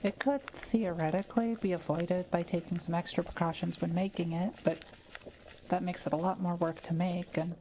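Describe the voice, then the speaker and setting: A woman playing a kind, quiet witch in an audio roleplay, speaking gently and carefully as she reassures and explains things to the listener. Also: lowish voice, fairly even intonation, moderate pace, a little hiss or hum, natural voice, poor audio. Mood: patient, explaining. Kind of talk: soft-spoken roleplay audio, talking to the listener.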